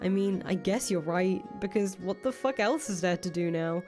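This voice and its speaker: A woman's voice: on the low side, normal speed, close to an everyday voice. Woman acting a jaded, foul-mouthed young woman. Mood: weary, bitter. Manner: blunt, sardonic, exasperated swearing. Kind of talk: voiced reading of visual novel dialogue. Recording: music playing under the voice.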